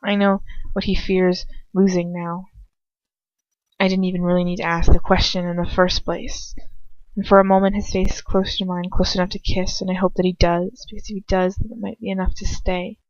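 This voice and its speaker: A woman's voice: lowish voice, little pitch movement, normal speed, dry recording, highs cut off. A woman reading a first-person story dramatically, stumbling now and then and rereading phrases. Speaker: amateur fan fiction reader.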